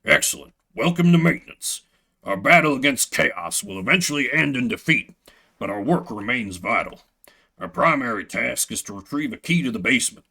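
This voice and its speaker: A man voicing a solemn, grandiose janitor from a video game, speaking formally and gravely as if chores were military duty. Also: medium pitch, animated, normal speed, pushed and bright. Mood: solemn, commanding. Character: solemn, grandiose adult male janitor devoted to maintenance.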